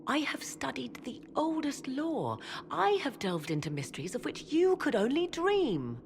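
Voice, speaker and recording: An actress voicing a proud, ambitious witch and scholar of ancient lore in a fantasy game, speaking formally and with imperious, measured persuasion. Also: high-pitched, big pitch swings, full character voice. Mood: proud, persuasive. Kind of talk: dramatic fantasy game dialogue. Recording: clear ambient noise behind voice.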